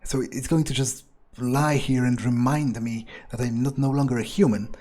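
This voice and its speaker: Husky low-voiced man musing in character. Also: steady tone, moderate pace, warm tone. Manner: musing, crude, rambling asides. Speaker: gaming video creator playing in character. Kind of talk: improvised in-character game commentary.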